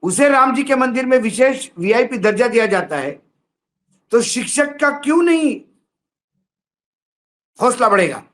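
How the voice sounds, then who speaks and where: Guy doing opinionated news commentary, high pitched, indignant, lots of rhetorical questions. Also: sing-song, a little slow, long pauses, fairly bright tone. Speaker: political commentator on news show.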